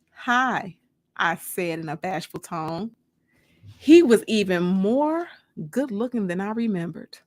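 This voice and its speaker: A woman reading her own novel aloud as a dramatic first-person audiobook narration. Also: mid-range voice.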